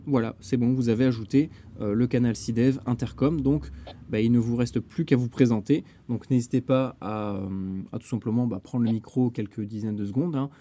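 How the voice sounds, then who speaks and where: A man giving a casual software tutorial as a network administrator, explaining step by step with some hesitation. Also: mid-range voice, a little hiss or hum.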